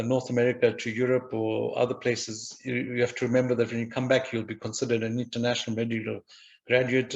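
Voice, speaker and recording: An older man from Zimbabwe talks on a webinar panel with a gravelly, creaky edge, keeping his pitch flat and even. He stalls and repeats words as he goes, and the recording sounds dull and lo-fi. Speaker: diagnostic radiologist. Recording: dull lo-fi audio.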